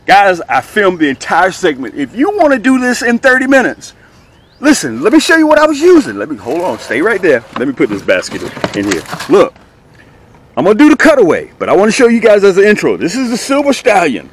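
Animated middle-aged man, folksy. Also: high-pitched, brisk. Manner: folksy, emphatic, repeats phrases for effect. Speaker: host of a fishing channel. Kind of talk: casual fishing vlog, talking to viewers. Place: outdoors on a small boat on a lake. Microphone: action camera mounted near him. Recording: outdoor noise, small action camera.

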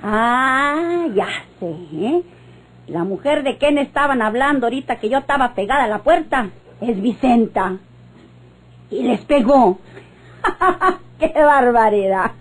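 A brazen working-class Mexican gossip in an old radionovela. She is high-pitched and mouthy, talking back with cheek. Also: lively intonation, slow pace, pauses often. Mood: gleeful.

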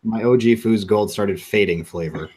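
A man's voice: fairly low pitch, moderate pace, darkish tone, slightly rough. A man in his thirties, a podcast co-host, trading dry, wry remarks in casual chat over a video call.

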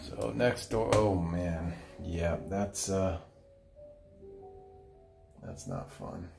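A man working as a plumber, talking in a dry, matter-of-fact way while he clears a clogged drain. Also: low voice, wildly swooping pitch, extremely slow, music in the background. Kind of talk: casual on-the-job narration. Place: indoor apartment bathroom. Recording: roomy bathroom echo, noisy work sounds.

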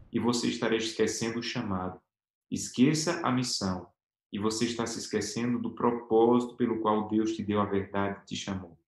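A man in his thirties from Brazil teaching a Bible lesson to camera, earnest and emphatic, stretching key words for stress. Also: medium pitch. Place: home office with bookshelves, indoors. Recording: echoey room with soft background guitar.

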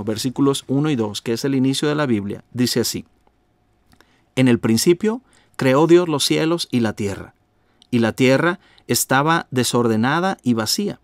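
A man in his thirties giving a calm, measured radio teaching, often asking the listener direct questions. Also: mid-range voice, no room sound, studio quality. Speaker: pastor and radio host.

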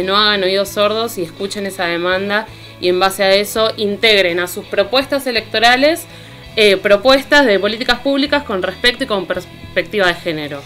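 A woman's voice: medium pitch, a bit bright. An Argentine woman giving informal political commentary as a columnist on a radio show. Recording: music bed under the voice. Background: music.

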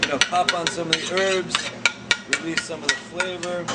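A man in his thirties, an executive chef, giving a relaxed, chatty cooking demonstration with many fillers and restarts. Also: a little high, moderate pace. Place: large restaurant kitchen. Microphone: camera mic from a few feet away. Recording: echoey kitchen, distant camera mic, loud hum.

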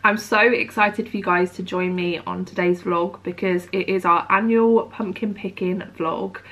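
A young woman in her twenties vlogging to camera at home, chatty and upbeat, her voice nasal and croaky from a cold. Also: lowish voice, fairly even intonation, moderate pace, a bit bright. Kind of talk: casual chat to camera. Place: bedroom at home.

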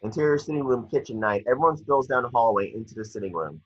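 A man with a husky voice reading out stage directions as scripted narration at a table read on a video call. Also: mid-range voice, lively intonation, fast, a bit bright. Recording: muffled, dry video call audio.

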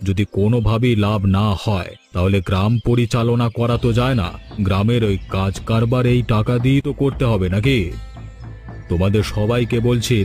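A man's voice: on the low side, darkish tone, lightly acted. A man acting a greedy, bullying village headman in a cartoon folk tale, with a domineering, scheming, scolding manner.

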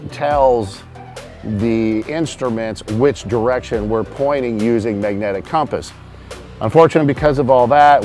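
A man's voice: medium pitch, a little mellow. A middle-aged man giving an informal, technical walkthrough of equipment as a host speaking outdoors. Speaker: host, charter fishing captain. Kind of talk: casual product walkthrough, explaining equipment. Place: outdoors on a boat roof at a marina.

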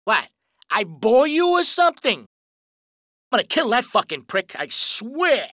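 A woman's voice: fairly high pitch, big pitch swings, measured pace, fairly bright tone, dry recording. A woman doing a foul-mouthed mafia thug. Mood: taunting. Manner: brash, profane, swaggering tough-guy talk. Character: hot-tempered male mafia street thug. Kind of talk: reciting video game pedestrian lines. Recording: dull lo-fi dry recording.

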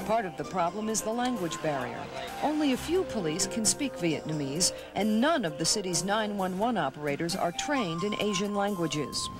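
A woman in her thirties, a reporter, narrating a documentary script and asking interview questions in a measured, clear way. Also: mid-range voice, very animated, over music.

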